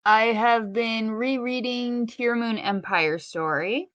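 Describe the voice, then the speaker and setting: Woman, bright crisp tone, dry sound, casual podcast chat, wry and opinionated. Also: fairly high pitch, takes it slowly, dry recording, dull audio. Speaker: podcast co-host.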